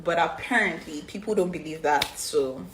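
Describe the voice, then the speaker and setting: Young Nigerian woman in her twenties on a phone live stream, low-pitched, chatty and unhurried, reading questions then rambling through answers. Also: background noise. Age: 20s. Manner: reads fan questions aloud then answers chattily with many ums. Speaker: reality show contestant answering fan questions.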